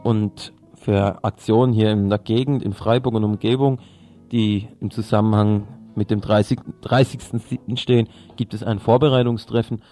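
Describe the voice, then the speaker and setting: A man's voice: lowish voice, steady tone, moderate pace, dark tone, faint music behind. A man presenting radio news, reading from a script and now and then stumbling over a word.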